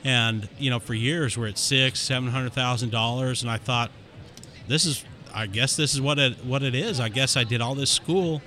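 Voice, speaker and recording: A man guest on a podcast, candidly telling his story in an animated way, with quick rushes of speech. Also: mid-range voice. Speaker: dentist guest. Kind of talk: casual interview storytelling.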